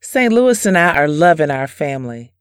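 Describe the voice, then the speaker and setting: Low-voiced, gentle young wife played by an actress. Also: female voice, big pitch swings, normal speed, clean tone, no room sound, clean studio sound. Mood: friendly. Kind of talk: audio drama acting.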